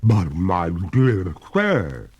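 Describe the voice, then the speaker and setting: A man voicing a genteel female water ghost in a drawn-out, theatrical manner for a storybook reading. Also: low voice, huge pitch swings, husky, dull audio, heavily acted. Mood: plaintive, distressed. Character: haughty female water ghost, a ladylike, plaintive spectre.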